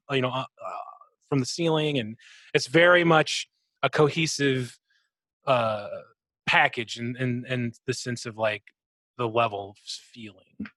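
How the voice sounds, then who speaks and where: A man co-hosting a casual gaming podcast, thinking aloud in a halting way and often repeating his words. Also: medium pitch, fairly bright tone, dry recording. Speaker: podcast co-host.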